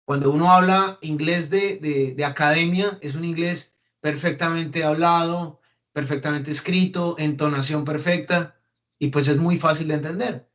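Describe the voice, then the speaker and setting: Colombian man talking with a higher voice. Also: fairly even intonation, moderate pace, a bit bright. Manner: conversational, many fillers and restarts, mimics English phrases. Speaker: guest speaker on migration. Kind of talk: casual talk to an audience.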